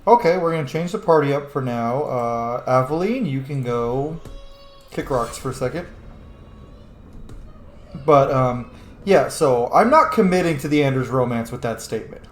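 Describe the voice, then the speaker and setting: A man giving casual, playful let's-play commentary in an animated voice. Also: medium pitch, moderate pace, faint music behind. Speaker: gaming streamer. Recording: roomy echo, faint noise.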